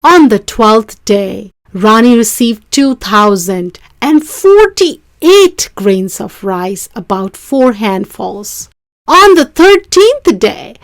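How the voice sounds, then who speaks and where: Expressive woman reading a children's storybook, unhurried. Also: medium pitch, no echo, studio quality. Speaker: storybook reader. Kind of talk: children's story read aloud.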